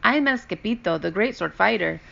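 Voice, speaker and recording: A woman's voice: mid-range voice, lively intonation, fairly quick, vocal fry, a bit bright, full character voice. A woman voicing a brave, boastful young kitten who pretends to be a chihuahua sword fighter, with mock Spanish flair and dramatic exclamations. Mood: excited. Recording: dull lo-fi recording.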